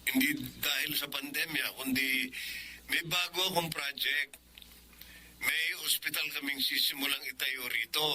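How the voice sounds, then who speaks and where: Casting: earnest overseas engineer son, Filipino, very forceful tone, faint background noise, radio drama. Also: male voice, medium pitch, fairly even intonation, moderate pace. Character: Ramon, dutiful, earnest engineer son working abroad.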